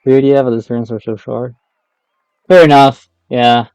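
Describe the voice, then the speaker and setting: A man's voice: mid-range voice, fairly even intonation, moderate pace, a little mellow, clear, resonant tone, dry recording, faint music behind, low-quality recording. A man with an Argentinian accent, a native Spanish speaker, chatting casually and rambling as he streams a game. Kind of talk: casual live stream chat while gaming.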